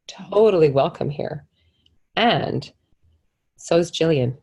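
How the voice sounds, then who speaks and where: A woman on a video call speaks in a fairly low voice, gentle and reassuring. A lingering cold leaves her coughing now and then. She acts as a calm, supportive host. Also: slow pace, gravelly, slightly rough. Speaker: host and coach. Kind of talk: casual conversation over a call.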